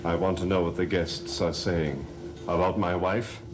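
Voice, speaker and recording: An actor playing a brooding, commanding master of a gothic island estate, terse and grave. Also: male voice, deep voice, fairly even intonation, normal speed, close to an everyday voice. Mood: stern, commanding. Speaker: soap opera actor. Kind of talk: gothic soap opera acting. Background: loud dramatic music.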